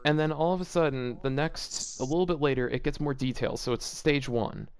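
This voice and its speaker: A man's voice: medium pitch, fairly quick, clear, resonant tone. A man reading fan fiction aloud as a YouTuber, breaking off into casual, exasperated and baffled commentary.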